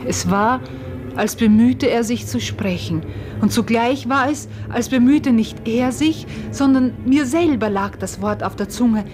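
An actress playing a farmer's wife who recounts an uncanny event with wonder, in measured, literary radio play narration. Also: female voice, a little high, natural voice. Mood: searching, intent. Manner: measured, literary, deliberate delivery.

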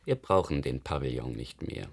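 Really deep cold leader type, threatening, clipped orders, no emotion, radio play. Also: male voice, expressive, normal speed, creaky, darkish tone, husky, heavily acted. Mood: cold, imperious. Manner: terse, commanding, unemotional. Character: cold, threatening male leader of a powerful faction. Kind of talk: German radio drama acting.

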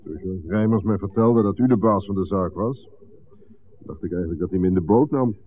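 A man's voice: low-pitched, brisk, natural voice. A man playing a genial, shady bar boss in a 1960s radio drama, familiar and businesslike in manner. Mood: genial, confiding. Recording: muffled, noisy old radio recording.

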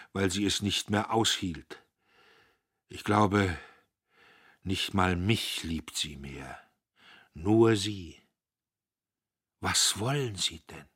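A man voicing an aging father who speaks slowly, hushed and trembling, close to tears. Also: low voice, slightly rough, no room sound, heavily acted. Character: aging father, pleading, shaken, near tears.

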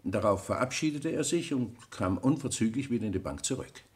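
A fussy, self-important banker played by a man in a radio drama. His voice sits fairly low yet moves about a great deal, with formal, easily affronted phrasing. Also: animated, brisk, lightly acted. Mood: precise, formal. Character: fussy, self-important, hurried male banker called as witness. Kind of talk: German radio play acting.

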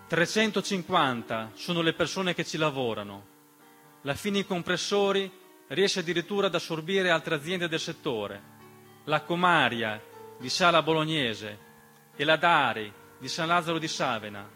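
A man's voice: fairly high pitch, lively intonation, moderate pace, bright, forceful tone. A man delivering an emphatic, dramatic theatrical monologue as narrator, with rhetorical questions and refrains. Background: piano accompaniment.

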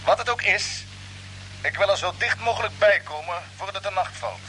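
Male space captain, high voice, brisk and commanding, old radio serial with clear background noise. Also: sharp tone. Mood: businesslike. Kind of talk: vintage Dutch radio drama acting. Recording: noisy old radio play recording.

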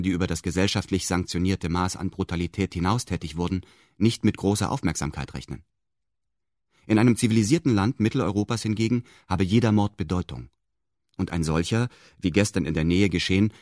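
A man's voice: deep voice, darkish tone, no echo, studio quality, lightly acted. A male actor playing a detached first-person radio drama narrator with precise, stilted, bureaucratic phrasing.

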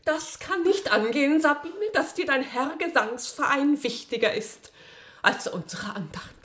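An actress playing a strict, devout deaconess with a solemn, reproachful manner in a radio drama. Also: female voice, high-pitched, lively intonation, measured pace, fairly bright tone. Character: strict, devout deaconess leading prayers, scolding, sanctimonious. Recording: echoey, reverberant room.

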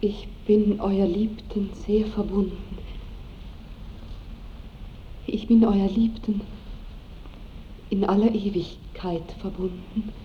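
A woman's voice: mid-range voice, steady tone, slow, pauses often, close to an everyday voice. A woman playing an innocent, very young noble bride in a radio play, girlish and fervent. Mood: hushed rapture. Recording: muffled, echoey 1960s radio with noise.

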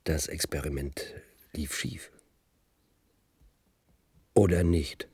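An uneasy, doubting scientist in a sci-fi radio play. He speaks low and husky, in short questioning bursts. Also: slow pace, a little mellow, no echo, exaggerated voice. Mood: doubtful. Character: uneasy, doubting male scientist named Phil. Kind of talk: German science-fiction radio drama acting.

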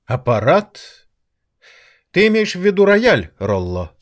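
A man's voice: sits high, huge pitch swings, slow, heavily acted. Man playing a theatrical, swooping, cultured piano maestro. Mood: patient, amused. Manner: theatrical, ironic, lecturing. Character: cultured male piano maestro, condescending and passionate. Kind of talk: audio drama acting.

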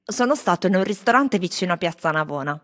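An actress voicing disgruntled tourists in Rome, in a theatrical radio recitation of their testimonies. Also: female voice, lowish voice, expressive, fast, fairly bright tone. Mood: reflective. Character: disgruntled tourists in Rome, airing complaints and impressions.

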